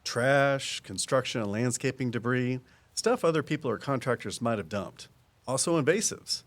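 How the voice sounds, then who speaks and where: An actor playing an easygoing small-town conservation officer in an audio drama, explaining things in a folksy, patient way. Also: male voice, medium pitch, expressive, normal speed, natural voice. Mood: easygoing, encouraging.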